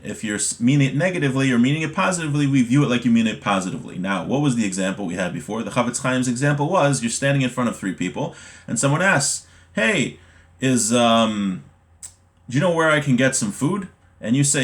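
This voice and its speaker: A man giving an informal religious lesson, reading from a text and explaining it, halting at times and repeating words for emphasis. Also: medium pitch. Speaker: religious teacher giving a class.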